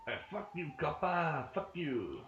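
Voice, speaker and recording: A man's voice: a little high, sing-song, unhurried, a bit bright. A man chatting casually and jokily while playing an online role-play game, swearing freely. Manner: jokey, sweary, repeats catchphrases. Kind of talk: casual gaming chat with banter. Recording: muffled, faintly noisy, echoey room.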